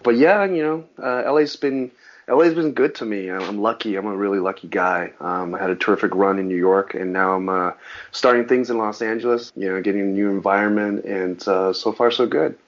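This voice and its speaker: A man's voice: on the low side, fairly quick. A man who grew up speaking Mandarin, a guest on a casual interview podcast, rambling with lots of restarts. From Southern California. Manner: rambling, frequent restarts, animated on sports. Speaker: guest, actor and musician. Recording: dull lo-fi podcast audio.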